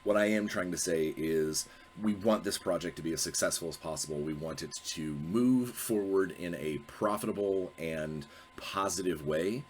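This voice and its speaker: Deep-voiced man with a stuffy cold. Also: moderate pace, hardly any pauses, a little hiss or hum. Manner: rambling, self-correcting, many fillers. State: congested, sniffly from a cold. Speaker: host. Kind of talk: casual solo video talk.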